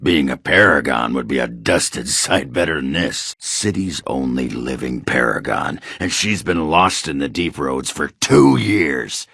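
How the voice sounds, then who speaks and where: A male actor playing a gruff, crude, hard-drinking dwarf warrior in a video game, cranky and boastful, with a growling, sardonic, blustering delivery. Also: a bass voice, lively intonation, unhurried, hardly any pauses, a bit bright, no room sound, clean studio sound, exaggerated voice. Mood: bitter, indignant. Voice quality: gravelly, growling rasp. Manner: blustering, earthy swearing, sardonic. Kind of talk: video game character acting.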